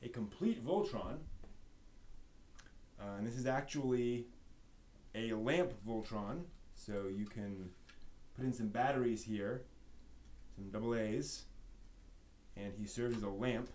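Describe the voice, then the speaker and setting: A man's voice: medium pitch, slow pace, pauses often, a little mellow. A man hosting a casual live show-and-tell stream, rambling and thinking aloud as he handles things. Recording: noisy live stream audio.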